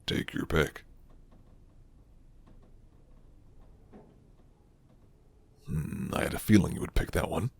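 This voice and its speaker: A man voicing a gruff, flirty but guarded werewolf in an intimate, murmured ASMR roleplay, with teasing pauses. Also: slight background noise. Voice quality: deep, low and gravelly.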